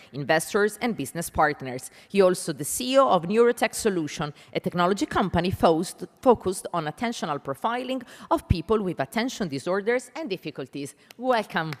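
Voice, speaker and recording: Female panel moderator, Italian accent, very expressive pitch, emphatic stage delivery, long hall reverb. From Italy. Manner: emphatic, deliberate, poses questions to listeners. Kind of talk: conference stage presentation addressing an audience. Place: large indoor conference hall.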